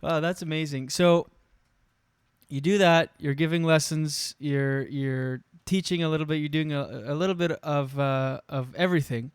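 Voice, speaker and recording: A man's voice: fairly high pitch, steady tone, moderate pace, clear, resonant tone, no echo, clean studio sound. A man hosting a casual interview. He asks eager questions, gives short acknowledgments and laughs along with his guest. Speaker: host.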